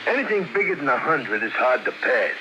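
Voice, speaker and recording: An actor playing an eager, uneducated crook's sidekick in a radio drama, talking earnest, folksy slang. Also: male voice, medium pitch, big pitch swings, fairly quick, muffled recording, close to an everyday voice. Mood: earnest calculating. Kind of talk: old-time radio crime drama acting. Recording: noisy old radio recording.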